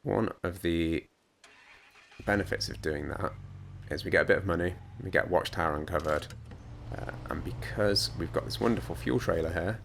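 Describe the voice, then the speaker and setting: A British man with a voice croaky from hay fever, giving casual, wryly self-deprecating commentary as he plays a video game. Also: deep voice, normal speed, fairly bright tone, a little hiss or hum. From United Kingdom. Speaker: gaming let's player. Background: video game music and truck engine.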